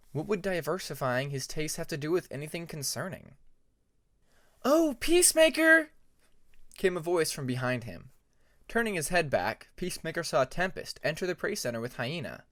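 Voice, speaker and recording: A man's voice: a little high, fairly bright tone, dry recording, studio quality. A man reading a fantasy story aloud as a scripted audiobook narration. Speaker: audiobook narrator of fan fiction.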